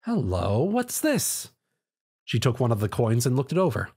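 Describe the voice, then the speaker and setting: A man's voice: medium pitch, huge pitch swings, moderate pace, warm tone, slightly rough, heavily acted. A man voicing a mild, curious female pony market seller in a storybook reading. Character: ordinary market seller mare, concerned and curious.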